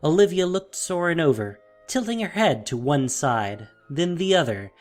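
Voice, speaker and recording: Expressive male fantasy story narrator. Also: fairly high pitch, moderate pace, faint music behind.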